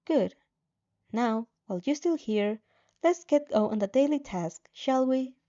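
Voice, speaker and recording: Lady doing a smug uncaring system entity from an audio story, talks down to you, mellow tone. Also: sits high, expressive, unhurried, muffled recording, pitched above the performer's own voice. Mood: brisk, satisfied. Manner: patronizing, coolly coaxing. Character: remorseless game-like system, condescending taskmaster.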